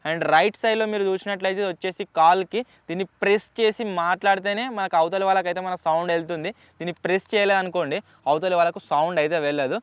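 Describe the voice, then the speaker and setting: A man's voice: high voice, steady tone, rapid-fire, clear, resonant tone, no echo. A man with a Telugu accent hosting a casual unboxing vlog, chatty and explanatory, mixing in English words. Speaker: YouTube host.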